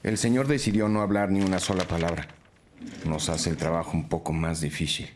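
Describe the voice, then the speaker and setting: An actor playing a sober, methodical police detective who reports and reflects in a scripted crime podcast drama. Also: male voice, deep voice, fairly even intonation, brisk, noisy background, close to an everyday voice. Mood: matter-of-fact. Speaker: detective reporting case facts.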